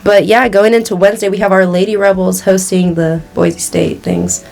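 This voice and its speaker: A woman's voice: mid-range voice, fairly even intonation, normal speed, creaky, darkish tone. A young woman hosting a student sports podcast, running through upcoming games. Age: young adult. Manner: upbeat, rundown of games. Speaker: podcast host. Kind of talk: casual chat.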